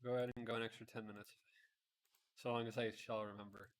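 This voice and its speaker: A man's voice: fairly low pitch, flat delivery, quick pace. A man narrating a children's fantasy book aloud, often stumbling over words and correcting himself. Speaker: amateur storybook reader, streamer.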